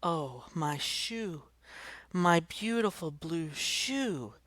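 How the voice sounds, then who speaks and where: A woman voicing a lively, prim schoolteacher who speaks earnestly and a little dramatically. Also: fairly low pitch, very slow, no room sound, exaggerated voice. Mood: dismayed. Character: lively, gently indignant female schoolteacher.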